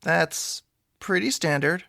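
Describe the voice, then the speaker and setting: An anxious young man in an audio drama, with noticeable vocal fry. He rambles sheepishly and slips in deadpan jokes, recorded close and dry. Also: fairly high pitch, slow, no room sound, heavily acted. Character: anxious, awkward, joking young man seeking help. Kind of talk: audio drama dialogue, conversational.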